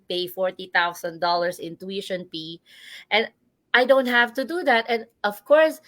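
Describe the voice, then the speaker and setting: A woman's voice: medium pitch, fairly bright tone, no echo. A Filipino-accented woman in her forties, a business coach telling her own story in a live interview, earnest and chatty, often checking in with "right?". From Philippines.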